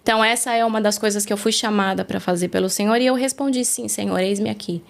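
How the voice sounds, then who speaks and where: A woman's voice: medium pitch, fairly even intonation, quick pace, gravelly. A woman from Brazil, the guest on a faith interview, telling her story in an earnest, reflective way, recorded in a studio.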